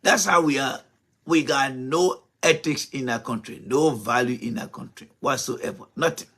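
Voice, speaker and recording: A man's voice: medium pitch, normal speed, fairly bright tone. Impassioned man hosting a show. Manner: impassioned, rhetorical questions, repeats himself. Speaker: host. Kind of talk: political commentary monologue.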